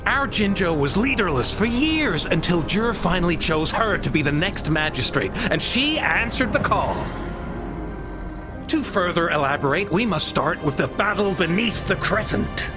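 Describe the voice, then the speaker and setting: A grandiose storyteller from a video game, recounting war legends in a highish, wildly swooping voice. He declaims with drawn-out emphasis, and music plays behind him. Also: lively intonation. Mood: proud, reverent. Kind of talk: dramatic storytelling narration.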